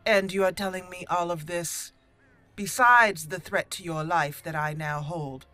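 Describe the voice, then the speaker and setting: A woman hosting a roleplay let's play, voicing dialogue deliberately and theatrically and adding her own commentary. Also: lowish voice, steady tone, sharp tone, soft background music. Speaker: gaming channel host.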